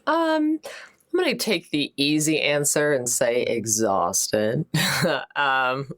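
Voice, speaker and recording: A tabletop game player chatting casually, with a hesitant, halting delivery. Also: medium pitch, lively intonation, fairly bright tone, dry recording, clean studio sound. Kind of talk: casual chat.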